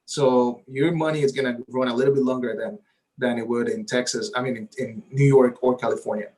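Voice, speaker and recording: A man whose first language is Spanish, speaking as a guest realtor at a middle pitch. He talks eagerly and at length, checking in with a frequent "right?". The tone is easy and conversational. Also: steady tone, a little fast, a little mellow, low-quality recording. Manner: eager and talkative, often adds "right?". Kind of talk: casual interview talk.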